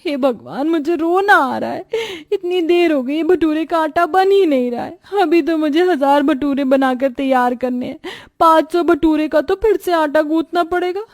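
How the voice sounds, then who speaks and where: Lady doing a put-upon young cartoon bride, very high complaining voice. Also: moderate pace, a little mellow, natural voice. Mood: whining dismay. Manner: plaintive, protesting.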